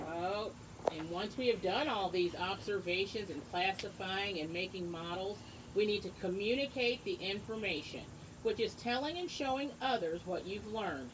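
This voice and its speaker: Woman teacher reading aloud, noisy recording. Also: medium pitch. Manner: encouraging, addresses children directly with simple explanations. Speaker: teacher reading a book aloud to young pupils. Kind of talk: read-aloud lesson with asides and questions to children.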